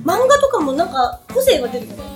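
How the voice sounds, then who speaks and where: Woman, very high-pitched, bubbly, radio host, excitable, light music underneath. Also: fast. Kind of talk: cheerful radio talk and announcements.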